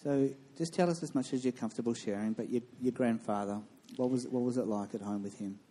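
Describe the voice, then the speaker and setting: A man's voice: mid-range voice, fast, mellow, slight background noise. A man hosting a church interview on stage, encouraging and reassuring his guests.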